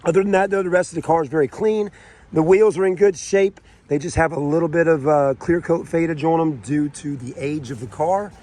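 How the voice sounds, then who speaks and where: Raspy-voiced car salesman, chatty and rambling. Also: fairly high pitch, brisk, mellow. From South Carolina. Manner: rambling and chatty, many fillers and asides. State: raspy, sore throat. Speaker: car salesman giving a walkaround review. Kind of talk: casual car walkaround tour. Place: outdoors on a car dealership lot.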